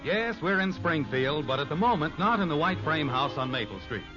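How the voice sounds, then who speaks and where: A man's voice: on the high side, expressive, fairly quick. An American man announcing and narrating a 1950s radio sitcom from a script. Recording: dull old radio recording. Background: music.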